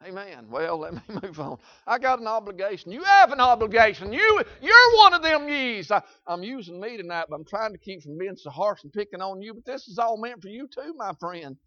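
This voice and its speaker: A man preaching a sermon in a Southern US accent, folksy and repetitive, swinging between loud shouts and quiet asides. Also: sits high, big pitch swings, brisk, few pauses, no room sound, muffled recording.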